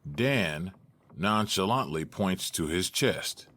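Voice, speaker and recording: A man reading scripted stage directions as narrator, measured and matter-of-fact. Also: deep voice, animated, a bit bright.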